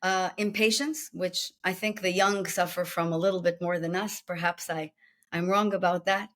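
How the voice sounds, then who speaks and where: A woman's voice: on the low side, fairly even intonation, moderate pace, fairly bright tone. A woman with an Israeli accent, a coach being interviewed, explaining her method with rhetorical questions and listed steps. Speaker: guest executive coach.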